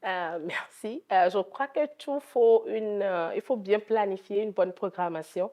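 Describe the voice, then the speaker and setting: A woman's voice: medium pitch, measured pace, mellow. A woman from Togo with a Togolese French accent, answering interview questions in an earnest, devout way as a gospel singer.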